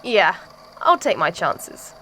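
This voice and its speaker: A woman's voice: on the low side, big pitch swings, slow pace, pushed and bright, slight background noise, full character voice, poor audio. A woman playing a sardonic, skeptical friend in an amateur audio drama, speaking in a blunt, casual, exasperated way. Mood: incredulous, dry.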